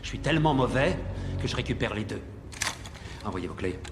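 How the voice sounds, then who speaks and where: A man's voice: medium pitch, lively intonation, a little fast, noisy background, lightly acted. An actor playing a boastful, merciless tough guy who brags about how mean he is. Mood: cocky, boastful. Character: cocky, merciless, self-styled honey badger tough guy.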